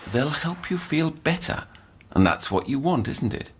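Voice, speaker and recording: A man playing a grave psychiatric counsellor in an audio drama, speaking in a measured, clinical and formal manner. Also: on the high side, very animated, slight background noise. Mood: coaxing. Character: grave, analytical adult male psychiatric counsellor.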